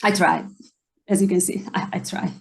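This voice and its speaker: A woman's voice: mid-range voice, moderate pace, vocal fry. Woman searching for her words. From Hungary. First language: Hungarian. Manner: hesitant, searches for words, apologetic. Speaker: podcast co-host. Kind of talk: casual chat.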